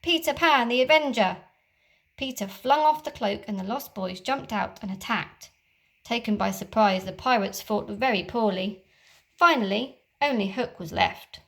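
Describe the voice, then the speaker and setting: A woman teacher reading a children's storybook aloud, with expressive, dramatic storytelling. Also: mid-range voice, normal speed, gravelly.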